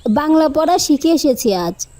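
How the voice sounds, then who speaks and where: A woman's voice: high-pitched, lively intonation, unhurried, warm tone. A woman playing an earnest, troubled teenage village girl in a cartoon, speaking careful, polite standard Bengali. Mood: plain, earnest.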